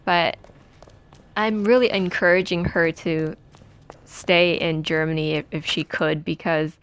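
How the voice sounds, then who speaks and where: A woman in her twenties from Taiwan, chatting and telling stories while playing a video game, rambling with many pauses. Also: lowish voice, fairly even intonation, clean tone. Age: 20s. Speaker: let's play gamer.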